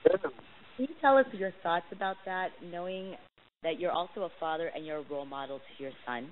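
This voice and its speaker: A woman's voice: on the low side, normal speed. A woman reporter asking questions on a press conference call, with a hesitant, rambling delivery. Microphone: phone call. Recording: hissy, narrowband phone conference line.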